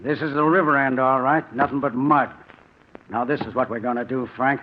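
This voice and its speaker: A muffled, faintly noisy old radio recording carries a tough American crook, played by a man. His mid-pitched voice is terse, slangy and commanding. He sounds like the steady leader in a 1940s radio crime drama. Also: a little fast, lightly acted. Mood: calm, determined. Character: tough, steady older crook leading his younger partner.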